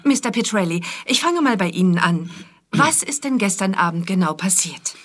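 An actress plays a female morning TV presenter in a radio play. She has a mid-pitched voice. She moderates in a courteous, composed, professional way. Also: expressive, normal speed, lightly acted. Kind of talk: radio drama acting as TV interview moderator.

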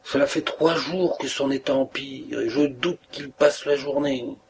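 A man's voice: mid-range voice, unhurried, vocal fry, slight background noise, pitched above the performer's own voice. A man reading an anxious old landlady. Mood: worried. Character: worried elderly landlady, devoted and anxious.

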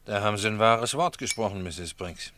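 An actor playing a sly, ironic snack bar regular who teases and insinuates, in a German radio drama. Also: male voice, on the low side, fairly quick, sharp tone, dry recording. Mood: dry irony.

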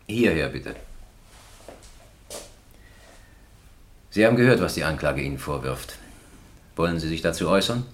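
An actor playing a stern, formal presiding judge with an official, commanding manner in a radio drama. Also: male voice, fairly low pitch, natural voice. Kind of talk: radio courtroom drama acting.

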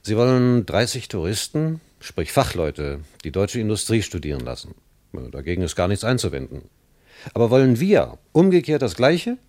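A man's voice: fairly low pitch, very animated, moderate pace, dark tone, no echo. A man playing a self-assured, wary, chummy West German diplomat who lectures in a German radio play. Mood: emphatic, wary. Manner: lecturing, chummy, punctuated with small tag questions.

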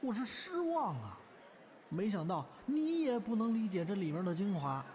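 An actor playing a playful young crosstalk comedian in an audio drama, teasing, exclamatory and mock-earnest. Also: male voice, sits high, big pitch swings, moderate pace, a little mellow, slight background noise. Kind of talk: audio drama acting with stage patter.

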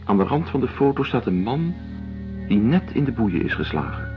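A male actor in a radio drama playing a weary, detached doctor and writer, speaking in a measured, deliberate way. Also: mid-range voice, very animated, music in the background, close to an everyday voice. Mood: grave, observant. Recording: dull lo-fi radio play recording.

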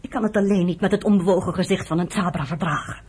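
A woman's voice: lowish voice, fast, darkish tone, slightly rough. An actress playing a weary, bitter woman who nurses the man she loves, in a reproachful, emotional radio drama style. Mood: bitter, hurt.

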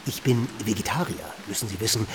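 An actor playing an earnest, puritan vegetarian inventor in a radio drama, speaking politely in wordy, formal sentences. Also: male voice, on the low side, fast talker, over music, lightly acted. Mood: polite, eager. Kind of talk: German radio drama acting. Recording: clear background ambient noise.

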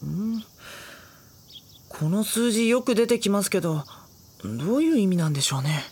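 A woman voicing a polite, reluctant high school boy in an anime audio drama, deadpan and sardonic. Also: normal speed, breathy edge. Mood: mild, reluctant. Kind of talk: Japanese anime audio drama acting and narration.

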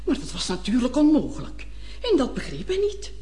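An elderly lady in a radio play, cordial and eager to host. Her voice is high and soft-edged, with a swooping, animated melody. She chats on in a genteel, gushing way. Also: brisk. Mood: wistful, confiding. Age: older. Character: cordial, chatty old lady, eager hostess mother. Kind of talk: Dutch-language radio play acting.

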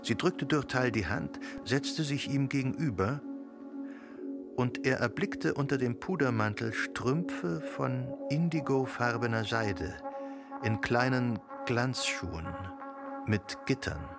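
A male narrator delivers literary radio-drama prose with deliberate, measured phrasing. His mid-pitched voice has a marked gravelly creak, and music plays clearly behind him.

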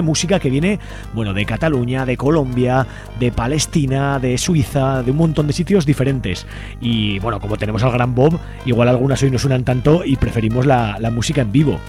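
A man's voice: medium pitch, moderate pace, darkish tone. A man Spain, presenting a live community radio show as its host a studio microphone. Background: music.

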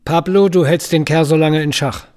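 An actor playing a hard-nosed, domineering construction boss in a radio drama, brusque and commanding. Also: male voice, fairly high pitch, fairly even intonation, moderate pace, a little mellow, lightly acted. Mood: scheming, bossy.

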